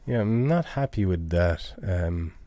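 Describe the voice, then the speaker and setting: A low-voiced man chatting casually as he works through a live music-making tutorial, halting and thinking aloud. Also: expressive. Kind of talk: casual tutorial chat while working. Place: indoors at a computer.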